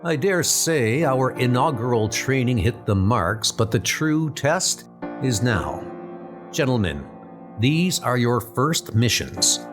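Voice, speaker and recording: Man playing a formal, measured military commander. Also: mid-range voice, sing-song, over music. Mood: composed, instructive. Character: senior British military officer instructing young spy recruits, composed. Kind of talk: comic dub dramatic acting.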